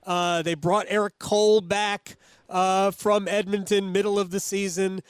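Clear, higher-voiced male sports radio host. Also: little pitch movement, unhurried, no echo. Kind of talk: conversational sports radio interview.

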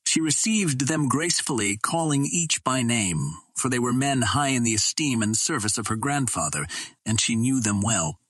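A man reading a vintage adventure novel as a narrator, in a formal, measured storytelling manner. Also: mid-range voice, lively intonation, a bit bright, slightly rough, dry recording. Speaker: audiobook narrator.

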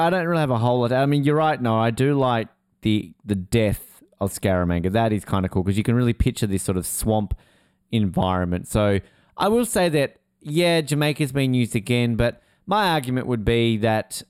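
A man's voice: mid-range voice, lively intonation, moderate pace, a little mellow, clean tone, no echo, clean studio sound. A man chatting casually as a podcast co-host, jokey and rambling. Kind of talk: casual chat.

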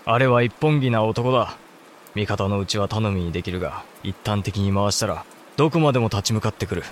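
A man's voice: on the low side, fairly quick, slight background noise, lightly acted. A man playing a thoughtful samurai clan head in a Japanese historical audio drama, formal and composed. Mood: grave.

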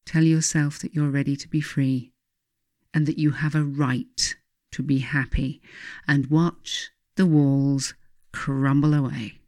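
A woman reading her own spiritual self-help book as scripted narration, speaking deliberately and earnestly straight to the listener. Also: low-pitched, fairly even intonation, darkish tone, no room sound, clean studio sound.

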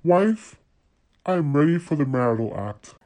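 A man playing a calm, authoritative husband in an acted scenario, measured and condescending in manner. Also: fairly high pitch. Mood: solemn. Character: calm, authoritative husband, mildly disappointed, indulgent.

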